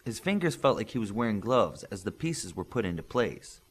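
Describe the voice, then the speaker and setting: A man reading a superhero story aloud as scripted narration, in an expressive storytelling manner. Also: lowish voice, brisk, husky. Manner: expressive storytelling, acting out dialogue. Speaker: audiobook narrator.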